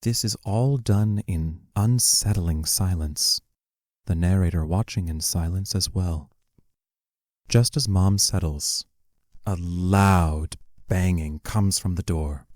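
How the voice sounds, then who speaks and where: Deep, slow-paced man reading stage directions. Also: a little mellow, no echo, studio quality. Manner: measured, matter-of-fact. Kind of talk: scripted narration of audio play.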